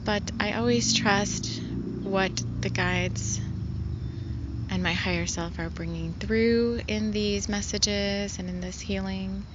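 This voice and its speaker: A woman spiritual healer in casual, rambling talk, soft-spoken and full of fillers. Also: mid-range voice, steady tone, takes it slowly, dull audio, background noise.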